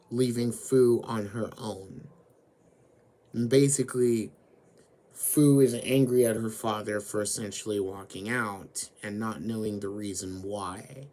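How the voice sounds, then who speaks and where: Hoarse guy walking through an anime plot real slow, lots of "basically". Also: lowish voice, dark tone. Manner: slow, deliberate storytelling with frequent fillers. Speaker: host. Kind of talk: anime retelling and commentary.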